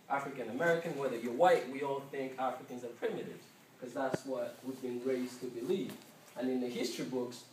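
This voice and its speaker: A man from Uganda with a Ugandan accent giving an informal class presentation, repeating words haltingly as he goes. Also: medium pitch. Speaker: student presenter. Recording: noisy, echoey room, distant mic.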